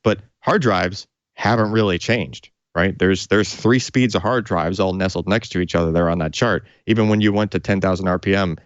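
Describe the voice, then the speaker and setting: A man's voice: sits low, moderate pace, hardly any pauses, no echo, muffled recording. A man co-hosting a tech show, explaining technical detail off the cuff in an enthusiastic, conversational way.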